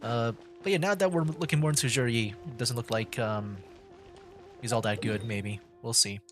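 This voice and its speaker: A man livestreaming a game with casual commentary, thinking aloud in a loose, halting way. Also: medium pitch. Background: game music.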